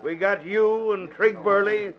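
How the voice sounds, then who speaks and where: A man's voice: high voice, fairly even intonation, measured pace. An actor playing a stern, commanding policeman with curt, barked orders in 1940s radio drama style. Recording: muffled vintage radio recording.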